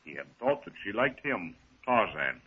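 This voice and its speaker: A man's voice: lowish voice, big pitch swings, moderate pace, husky. A man narrating a 1930s radio drama from a script in formal storytelling style. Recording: thin, dull 1930s radio recording.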